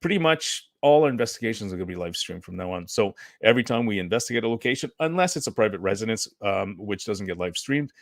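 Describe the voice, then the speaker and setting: A hoarse man with a lowish voice hosts a live stream, chatting casually to viewers. He rambles and clears his throat often. The sound is dry and close. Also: no echo. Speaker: host.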